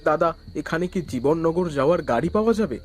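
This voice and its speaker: Man acting a high-pitched, earnest office worker. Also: lively intonation, fairly quick, soft background music. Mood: polite, hopeful. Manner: earnest, startled, stammers when scared. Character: polite, easily frightened young male office worker. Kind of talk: animated horror story acting.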